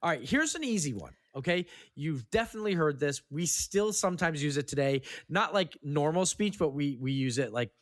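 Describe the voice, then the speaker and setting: A podcast host with a very animated voice that swings widely in pitch. The talk is casual and playful, like someone running a game for friends. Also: brisk, few pauses. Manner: slips into put-on old-time slang voice.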